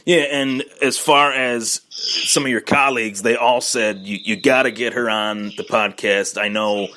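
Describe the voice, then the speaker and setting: A man hosts a casual sports podcast interview with a bright, clear tone. He talks at length, often circling back and restarting his words. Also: mid-range voice, fairly even intonation, measured pace, rough recording. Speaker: podcast host.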